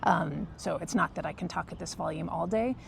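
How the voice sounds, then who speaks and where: A soft-spoken, low-key woman explaining her work casually in a vlog, pausing hesitantly as she goes. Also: creaky, slight background noise. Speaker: film crew first assistant director.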